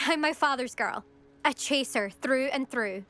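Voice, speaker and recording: A woman's voice: sits high, measured pace, fairly bright tone. A woman voicing a competitive teenage schoolgirl in a video game, confident and teasing. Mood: cocky, teasing. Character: competitive, cocky teenage schoolgirl broom racer.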